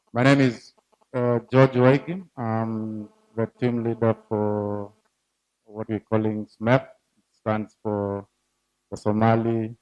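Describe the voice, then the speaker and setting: A man, a program team leader, giving a formal presentation at a donor event, speaking haltingly with many fillers and a volume that drifts up and down. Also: fairly low pitch, a little mellow, no echo, rough recording.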